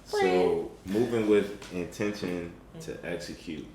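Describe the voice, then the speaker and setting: A man in a casual group conversation, speaking in a measured way, with frequent ums and a motivational tone. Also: deep voice, a loud crowd. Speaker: podcast guest. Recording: echoey room with faint noise.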